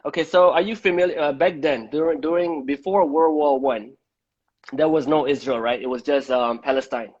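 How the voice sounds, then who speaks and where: Halting man from Malaysia, dull lo-fi audio. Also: fairly high pitch, a little mellow. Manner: halting, repeats words. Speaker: host of a live debate. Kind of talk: conversational live-stream interview. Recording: dull, lo-fi live-stream audio.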